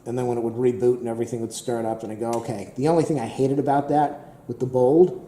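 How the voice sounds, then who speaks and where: A man rambling casually with lots of fillers and repeats, his voice slightly hoarse. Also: mid-range voice, fairly even intonation, quick pace, warm tone, reverberant. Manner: rambling, repetitive, many fillers. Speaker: tech vlogger.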